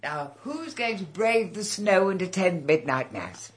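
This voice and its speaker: Low-voiced older English hostess. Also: female voice, expressive, unhurried, noisy background. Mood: organising. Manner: gently firm, motherly. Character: kindly, patient older English lady of the house. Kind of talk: radio drama dialogue.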